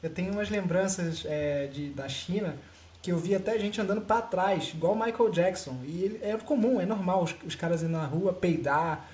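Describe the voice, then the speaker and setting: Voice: medium pitch, normal speed, a little mellow. A podcast host asking questions in a chatty, joking way. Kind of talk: casual interviewing. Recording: roomy echo, faint noise.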